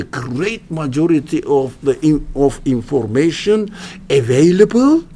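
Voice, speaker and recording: Turkish accent guy teaching a class, pitch jumps all over, halting english, kinda self-mocking. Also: creaky, mellow. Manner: halting, restarts phrases, wry self-mocking asides. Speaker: religious studies teacher. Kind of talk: informal classroom lecture. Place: lecture room at a university religious center.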